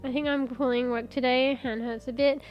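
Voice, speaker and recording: A woman's voice: sits high, steady tone, normal speed, dull audio, faint music behind. A congested woman, rambling in casual live-stream chat as a streamer, swearing and reading search results aloud. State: congested, losing her voice.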